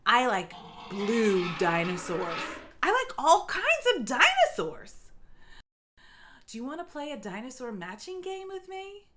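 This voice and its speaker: A woman's voice: a little high, big pitch swings, a little slow, a bit bright, slight background noise. A woman reading aloud for young children in a playful, encouraging way, with short simple phrases and quiz-like prompts. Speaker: children's read-aloud narrator.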